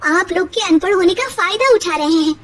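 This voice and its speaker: A woman's voice: very high-pitched, lively intonation, a little fast, fairly bright tone, a little hiss or hum, pitched above the performer's own voice. A woman voicing a clever, educated young daughter-in-law in a cartoon story, speaking firmly and outspokenly. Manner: firm and outspoken.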